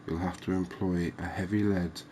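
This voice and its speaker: A soft-spoken man giving ASMR gameplay commentary, thinking aloud with pauses. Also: deep voice, flat delivery, a little hiss or hum. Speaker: gaming video host.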